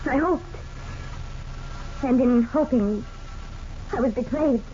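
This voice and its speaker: A high-pitched, secretive wife in a vintage radio mystery. She is anxious and jealous, halting over her words and repeating them. The old recording is dull, with clear hiss and noise over it. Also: dark tone. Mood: brooding, regretful. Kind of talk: 1940s radio mystery acting.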